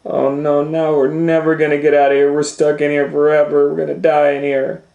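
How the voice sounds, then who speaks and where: A man voicing a frightened, complaining little girl in a storybook fairy tale, with loud exclamations. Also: a little high, monotone, a little fast, gravelly, lo-fi sound, pitched above the performer's own voice. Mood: despairing. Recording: roomy echo, faint background noise.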